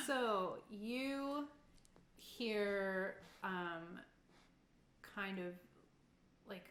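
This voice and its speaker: A woman's voice: medium pitch, very slow, long pauses, a bit bright. Woman game master in an echoey room. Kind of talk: casual improvised tabletop game narration. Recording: echoey room, faint background noise.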